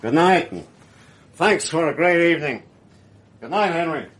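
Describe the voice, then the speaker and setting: A gruff, bitter old-hand movie actor in a radio drama. His voice sits somewhat high for a man, and he grumbles and needles, pushy and easily riled. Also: lively intonation, normal speed, fairly bright tone. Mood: smugly insistent. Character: gruff, touchy veteran male film actor, bitter and pushy.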